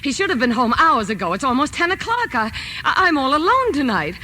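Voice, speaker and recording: A panicky, imperious invalid wife in vintage radio drama. Her high, bright voice swoops as she stammers and complains, over a slightly noisy old recording. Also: very animated, fairly quick, exaggerated voice. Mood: distressed. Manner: breathless, stammering, querulous.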